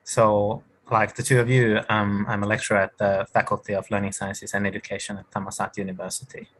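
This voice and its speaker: A man's voice: lowish voice, flat delivery, brisk, a bit bright, slight background noise. A man from Finland, a university lecturer, speaking thoughtfully with small hesitations on an online panel. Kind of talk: panel discussion.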